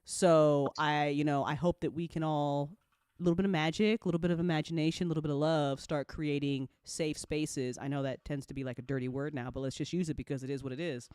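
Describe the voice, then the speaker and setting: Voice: mid-range voice, fairly even intonation, brisk, darkish tone, clean tone, no room sound, clean studio sound. A person with a man's voice in casual chat, speaking emphatically and repeating key phrases for stress. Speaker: audio drama creator on a panel.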